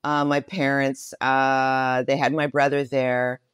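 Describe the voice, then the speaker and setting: Low-voiced woman telling personal stories. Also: takes it slowly, clean tone, no room sound, clean studio sound. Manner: expressive, emphatic repetition. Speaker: interview guest. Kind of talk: personal storytelling in conversation.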